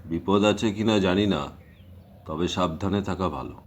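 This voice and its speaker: A man acting a grave, guarded middle-aged village father in an audio drama, speaking in a measured, cautionary way. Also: sits low. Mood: cautioning.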